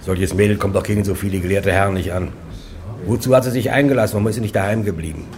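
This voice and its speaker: An actor playing a simple, grumbling, skeptical common guard in a radio play, earthy and questioning. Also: male voice, lowish voice, quick pace, darkish tone, close to an everyday voice. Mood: puzzled. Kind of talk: German radio play acting.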